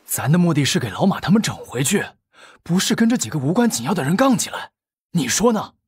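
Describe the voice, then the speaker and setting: A man's voice: fairly high pitch, big pitch swings, fairly quick, breathy edge, no echo, full character voice. A man playing a cautious gang lieutenant in an audiobook, reasoning and deferential. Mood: urgent persuading.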